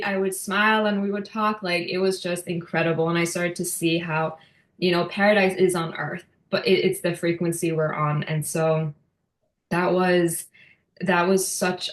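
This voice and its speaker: Woman, from Norway, podcast guest, earnest, low pitch, echoey room. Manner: earnest storytelling. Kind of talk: podcast interview.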